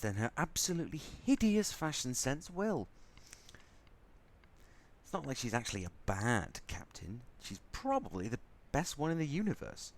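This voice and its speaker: A man playing a sardonic, grudgingly fond spaceship crewman in an audio drama, rambling as he goes. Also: medium pitch. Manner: sardonic, rambling, softening into fondness. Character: snarky male spaceship crewman, grudgingly fond, talking to baby.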